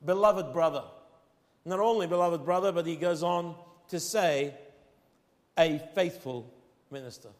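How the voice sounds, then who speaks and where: High-voiced Arabic-accented preacher, echoing hall. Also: male voice. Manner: emphatic, slows and rises on key phrases. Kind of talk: sermon. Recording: long hall reverb.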